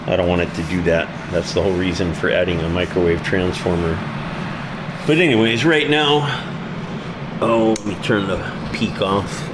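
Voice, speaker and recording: A man's voice: low-pitched, normal speed. A man giving an informal, unscripted hands-on explanation of his hobby project in a blunt, colloquial, plain-spoken way. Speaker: hobbyist presenter demonstrating his amplifier project. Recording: loud steady background hum.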